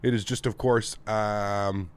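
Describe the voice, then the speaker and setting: A man's voice: on the low side, fairly even intonation, slow, smooth. Guy from north america hosting a live stream, kinda nasal and stuffy, upbeat, greeting everyone by name. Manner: upbeat, friendly, greets viewers one by one. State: congested throat from the weather. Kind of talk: casual live stream chat, greeting viewers. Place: home flight sim setup.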